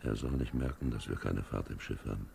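An actor playing a grim, sinister ship's captain in a 1950s radio drama, terse and commanding. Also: male voice, a bass voice, fairly quick, vocal fry, darkish tone, slight background noise, heavily acted. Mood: hushed, uneasy. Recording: 1950s radio recording, music underneath.

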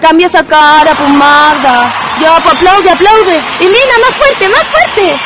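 A woman's voice: extremely high pitch, a little slow. A woman playing a perky, bossy, encouraging young Chilean girl in a radio drama. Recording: music under the voice.

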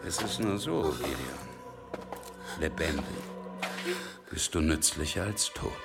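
A man's voice: rumbling low, expressive, a little slow, creaky, over loud music, exaggerated voice. A man in a German radio drama playing a sadistic, cunning henchman who speaks in a mocking, menacing, sardonic way. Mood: sadistic, taunting. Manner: taunting, sardonic, toying with the listener.

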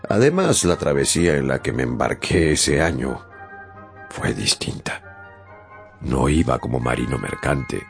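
An actor playing a young, plain-spoken sailor who tells his own tale slowly and thoughtfully in a radio drama. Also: rumbling low, sing-song, gravelly, full character voice. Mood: reflective. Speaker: narrator character. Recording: faint music underneath.

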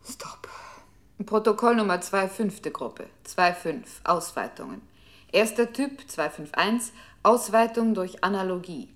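A woman's voice: mid-range voice, animated, unhurried, natural voice. An actress playing a computer's control voice in a radio play, impersonal, clipped and precise as she gives orders. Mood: impersonal, commanding. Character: female computer control unit, cold and commanding. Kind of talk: avant-garde radio play, clipped announcing.

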